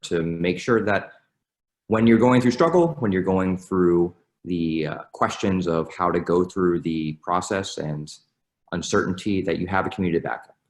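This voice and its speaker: Deep-voiced male doctor, calm measured testimonial. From Florida. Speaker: emergency physician. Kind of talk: video testimonial.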